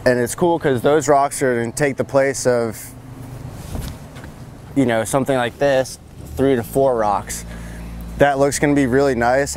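A man in his thirties, a pond builder, casually walking through a backyard project outdoors, relaxed and full of fillers. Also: mid-range voice, slight background noise. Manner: relaxed, filler-heavy, enthusiastic. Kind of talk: casual on-site walkthrough. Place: outdoor backyard construction site.

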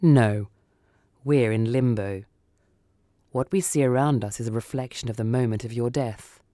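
A man voicing a calm, menacing son of Death in a story, grave, lucid and deadpan. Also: mid-range voice, moderate pace, no room sound, natural voice. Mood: cold, patient.

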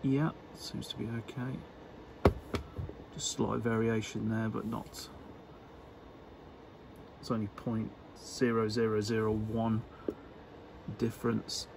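Male hobbyist presenter, slow and halting, casual unscripted demo, noticeable background noise. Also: lowish voice, flat delivery, vocal fry. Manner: hesitant, unscripted, halting phrasing. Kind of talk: casual workbench demonstration. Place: indoor electronics workbench.

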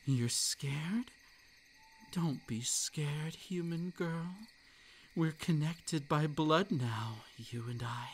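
A man voicing a possessive yandere male kitsune monster for roleplay ASMR. He breaks into unhinged laughter and drops into soft, breathy whispers. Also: on the high side, drawn-out words, a little hiss or hum. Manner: gleeful, unhinged laughter between lines.

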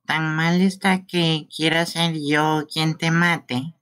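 A performer voicing a creepy, sweet-talking boy killer from a horror game, in a soft, lingering, pleading manner. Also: mid-range voice, steady tone, slow, smooth. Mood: wounded pleading.

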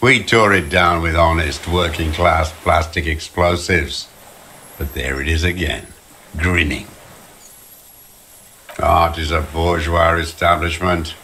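An actor playing a bitter, weary old communist deserter in a video game, ranting with disdain. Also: male voice, deep voice, fairly even intonation, bright, forceful tone, slight background noise, full character voice. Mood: scornful. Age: older. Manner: embittered, ranting, slow deliberate delivery. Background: music.

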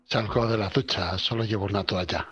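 A man's voice: on the low side, steady tone, fairly quick, fairly bright tone, husky, soft background music. A man with an accent from Spain, talking casually in character as a player in a tabletop game. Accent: Spanish from Spain. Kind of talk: casual in-character roleplay talk.

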